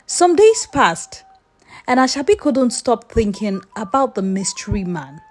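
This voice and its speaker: A woman narrating a folktale as a storyteller, in an expressive, theatrical way. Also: fairly high pitch.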